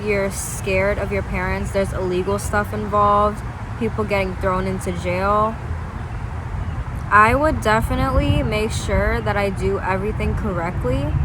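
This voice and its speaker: Earnest young woman giving advice over traffic noise. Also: medium pitch. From United States. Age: 20s. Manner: earnest, reassuring, speaks straight to the viewer. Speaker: advice vlogger. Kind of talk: advice vlog, reading viewer emails.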